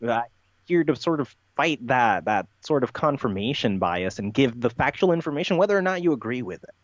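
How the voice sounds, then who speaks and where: A man talking as an interview guest, giving animated, emphatic, rambling explanations. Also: medium pitch, brisk, no echo. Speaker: podcast guest being interviewed. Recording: dull, lo-fi, dry sound.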